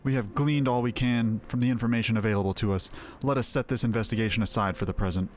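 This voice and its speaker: A man's voice: fairly low pitch, fast, close to an everyday voice. An actor playing a grave, resolute male leader in a fantasy game, speaking in solemn, formal, archaic phrases. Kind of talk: scripted fantasy game dialogue.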